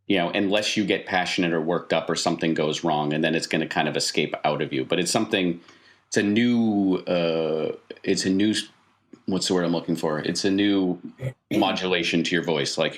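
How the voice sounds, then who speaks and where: A man's voice: low voice, a bit bright. A man acting as game master, improvising second-person narration in a probing, explanatory way.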